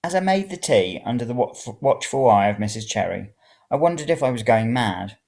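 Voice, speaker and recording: Expressive story reader with very lively pitch. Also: moderate pace, creaky. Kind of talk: first-person narration of serial fiction.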